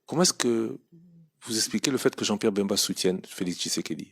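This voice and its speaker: Low-voiced male interviewer, probing. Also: a little fast, vocal fry, husky. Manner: probing, interrupts with follow-up questions. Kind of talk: pressing political interview.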